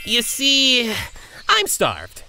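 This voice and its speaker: A man's voice: extremely high pitch, wildly swooping pitch, extremely slow, gravelly, pushed and bright, exaggerated voice. A man doing a stammering cartoon rabbit. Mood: pleading. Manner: stammering, polite. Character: meek hungry cartoon rabbit turned superhero. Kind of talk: comic dub voice acting. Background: music.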